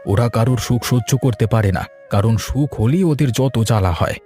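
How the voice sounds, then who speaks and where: A man voicing an easygoing village gentleman and husband in a cartoon story, expressive and placating. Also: medium pitch, moderate pace, soft background music, close to an everyday voice.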